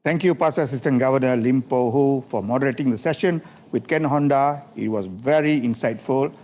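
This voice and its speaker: A man's voice: medium pitch, a little fast, a little mellow. A man working as the emcee of an online conference, reading scripted links in an upbeat, emphatic way. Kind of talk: scripted event announcing.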